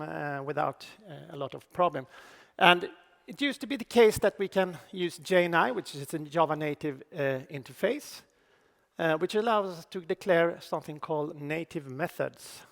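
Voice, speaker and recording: A middle-aged man with a Swedish accent giving a technical conference talk on stage, speaking haltingly with many fillers and restarts. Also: on the high side, creaky. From Sweden. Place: large conference hall on stage. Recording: reverberant conference hall.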